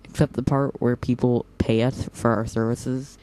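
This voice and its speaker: A man's voice: medium pitch, flat delivery, normal speed, warm tone, slight background noise, lightly acted, poor audio. A man playing a whimsical, teasing young operative in an audio drama, talking in a playful, mock-dramatic way.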